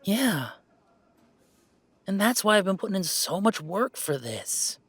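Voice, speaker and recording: A man playing an earnest, playful young werewolf gamer in an intimate, conversational roleplay audio, speaking in a halting, emphatic way. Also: high voice, breathy edge, no echo, full character voice. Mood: earnest, hopeful. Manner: halting, emphatic, expressive, with sighs and chuckles.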